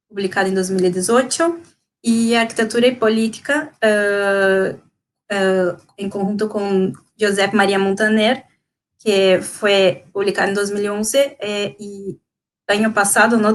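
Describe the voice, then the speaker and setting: A woman's voice: medium pitch, slight background noise. Hesitant young woman, Portuguese accent. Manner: hesitant, many fillers. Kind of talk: reading interview questions.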